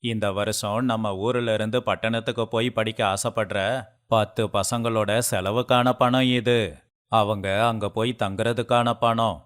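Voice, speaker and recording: A man's voice: lowish voice, a bit bright. A man voicing a proud, public-spirited village headman in a moral story, making a declaration to villagers.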